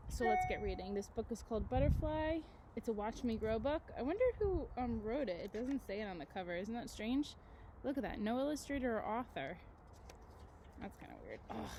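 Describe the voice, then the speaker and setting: Woman, slightly high voice, teacher's read-aloud, expressive, chatty asides to children, light ambient noise. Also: brisk. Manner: expressive, addresses young listeners with questions and explanations.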